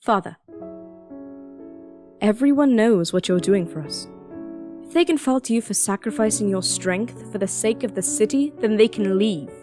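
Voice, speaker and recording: An actress playing a devoted, strong-willed young princess in an audio drama, speaking and narrating in a measured, earnest, solemn way. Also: female voice, medium pitch, over music, lightly acted. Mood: gentle, firm. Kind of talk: audio drama acting with first-person narration.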